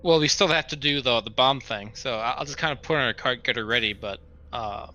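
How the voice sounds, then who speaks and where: A man's voice: mid-range voice, fast, sharp tone, lo-fi sound. A man playing a tabletop roleplaying game, talking casually and tentatively as he reasons out his moves aloud. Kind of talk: casual in-game talk, speaking as his character. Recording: noticeable background noise.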